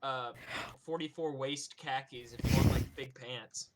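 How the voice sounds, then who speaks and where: A woman's voice: very deep voice, steady tone, measured pace. A woman in a casual group voice chat, talking in a blunt, informal way. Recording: noisy mic with keyboard clicks.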